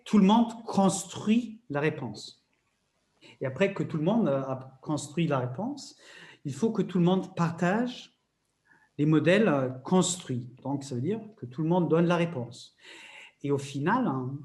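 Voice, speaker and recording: A man's voice: fairly high pitch, a little mellow. A man facilitator explaining a workshop method in a webinar, hesitant, with many fillers and restarts.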